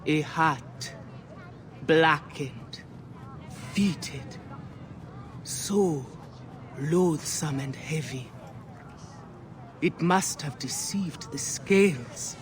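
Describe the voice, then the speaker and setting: A man's voice: fairly high pitch, drawn-out words, pauses often. A man playing an ominous, mystical ancient seer in a video game, speaking in portentous, incantatory phrases. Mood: foreboding. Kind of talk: dramatic video game acting. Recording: heavy background noise, outdoor ambience.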